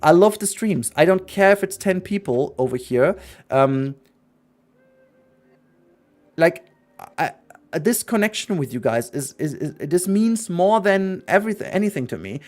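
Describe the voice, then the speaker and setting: A man's voice: high-pitched, expressive, a little slow, pauses often, darkish tone. A young man who is not a native English speaker, talking earnestly and rambling in a casual livestream chat, with many restarts. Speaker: streamer.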